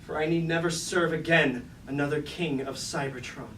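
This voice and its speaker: A man's voice: a little high, fairly even intonation, moderate pace, lightly acted. A man playing a proud, bitter rebel robot warrior in a staged tragedy, declaiming grandly in an echoey room. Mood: grandiose, defiant. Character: proud bitter rebel robot warrior, ambitious traitor turned self-crowned king. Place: indoor room at a live staged reading. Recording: echoey room from far off, noisy.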